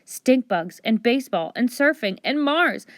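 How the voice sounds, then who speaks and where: A woman reading a storybook aloud with expressive children's narration. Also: fairly high pitch, a little slow, dry recording, studio quality. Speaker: storybook reader.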